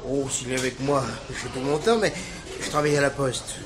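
A man's voice: medium pitch, a little fast, background noise, close to an everyday voice. Man acting a fussy, hesitant postal worker. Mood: fussy, eager. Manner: hesitant, deferential. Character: fussy, eager, deferential male postal worker witness. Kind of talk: French radio drama acting.

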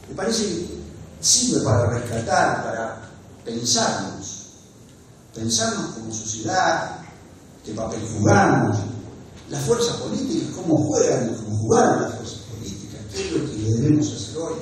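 A man's voice: fairly high pitch, big pitch swings, a little hiss or hum. Earnest older Argentine man in echoey hall. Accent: Argentine Spanish. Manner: measured and earnest, gestures with his hands, pauses often mid-phrase. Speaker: speaker at a book presentation. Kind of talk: formal public speech. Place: wood-panelled university hall, indoors. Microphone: desk microphone on a stand. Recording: very echoey hall, voice picked up from a distance.

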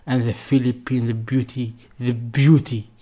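A man's voice: medium pitch, little pitch movement, a little slow, warm tone. A man in his thirties with an Algerian accent and non-fluent English gives effusive, casual reaction commentary, often repeating words and phrases. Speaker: reaction video host.

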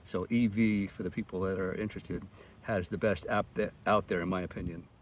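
A man's voice: deep voice, fairly even intonation, fairly quick. Man reviewing gear outdoors, traffic noise behind. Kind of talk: casual product demo commentary. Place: open park lawn outdoors. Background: passing cars, outdoor ambience.

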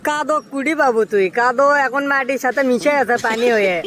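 A man voicing a cheeky young village friend in a comic cartoon, teasing and glib, with a Bogura accent and a pitched-up effect. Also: fairly quick, a bit bright. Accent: Bogura, northern Bangladesh. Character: cheeky young village buddy, teasing self-styled matchmaker.

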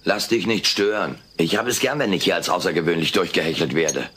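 An actor playing a wisecracking, self-centred furry alien in a sitcom audio play, sardonic and cheeky. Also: male voice, sits low, animated, brisk, a bit bright. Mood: sarcastic. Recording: noisy old cassette audio.

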